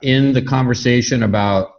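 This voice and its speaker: A man's voice: medium pitch, moderate pace, creaky. A man interviewing a guest in a casual, reflective way, inquisitive and feeling his way through his phrasing. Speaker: podcast interviewer. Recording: dull lo-fi audio.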